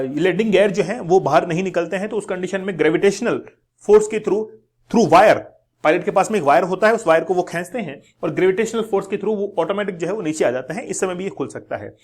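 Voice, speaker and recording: A man with an Indian accent presenting an explanatory news lesson to viewers, going step by step, repeating key words and mixing in English terms. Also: high voice. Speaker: educational channel presenter.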